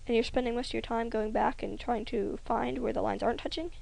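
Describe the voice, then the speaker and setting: A young woman rambling hesitantly over a drawing tutorial, often trailing off into soft near-whispers. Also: a little high, steady tone, fairly quick, vocal fry. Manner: rambling, hesitant, self-conscious, many ums. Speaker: hobby animator.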